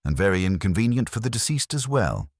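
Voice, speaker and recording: A man voicing a mild, unhurried police inspector with a quiet, measured, dryly witty delivery. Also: fairly low pitch, very animated, dry recording, clean studio sound, voice pushed up. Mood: mild, wry.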